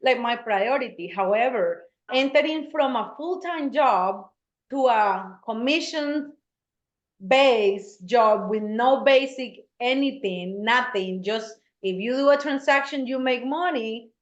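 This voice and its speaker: A woman from Colombia, speaking English, tells her story as a guest on a podcast. Her voice sits fairly high, and she laughs easily. The recording is roomy and slightly muffled. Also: expressive, slow. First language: Spanish. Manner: laughs easily, earnest and chatty. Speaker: guest, real estate agent. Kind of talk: casual interview talk. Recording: echoey, dull-sounding room.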